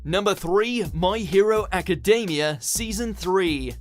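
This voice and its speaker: High-pitched male countdown narrator over music. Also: measured pace. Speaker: narrator of a ranked countdown video. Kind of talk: scripted narration.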